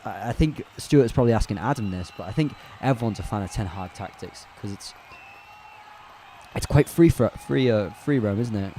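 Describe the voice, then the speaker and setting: A man calling a football match live on a fan watch-along stream, chatty and relaxed, correcting himself as he goes and answering viewers' chat. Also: fairly low pitch, normal speed, a little hiss or hum. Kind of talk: casual live play-by-play commentary.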